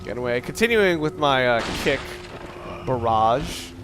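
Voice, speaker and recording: A man giving casual, opinionated gameplay commentary, rambling and halting as he goes, with casual swearing. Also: medium pitch, animated, noisy background. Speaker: let's play gamer commentator.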